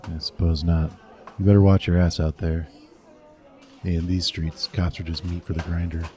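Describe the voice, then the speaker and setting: An actor playing a gruff, wary veteran male detective with a dry, blunt manner. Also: low voice, flat delivery, normal speed, mellow, natural voice.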